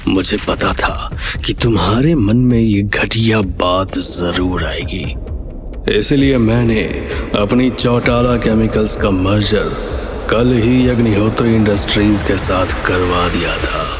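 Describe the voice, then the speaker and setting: A man's voice: fairly low pitch, slow, faint music behind, voice pushed down. A man playing a smug, shrewd rival businessman, condescending and self-assured, in a Hindi audio drama. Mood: smug, scornful.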